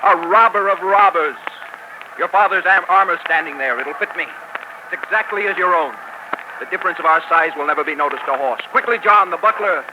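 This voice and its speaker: A man's voice: high-pitched, a little fast, close to an everyday voice. A man in 1940s radio drama playing a bold, gallant outlaw archer hero, with firm, commanding, theatrical delivery, on a noisy old broadcast. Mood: urgent.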